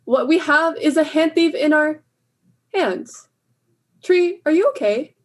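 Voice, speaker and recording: A woman acting as a protective crewwoman aboard a spaceship in a comic audio drama. Her voice is very high, insistent and defensive. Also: takes it slowly. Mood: indignant.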